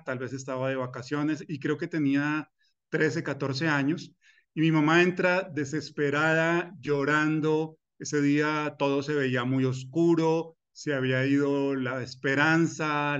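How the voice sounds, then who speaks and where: A man's voice: on the high side, steady tone, a little slow, fairly bright tone, clear, resonant tone. Earnest Colombian-born man, lo-fi lecture audio. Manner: earnest, personal, with frequent "eh" fillers and restarts. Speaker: personal finance instructor. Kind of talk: recorded masterclass lecture.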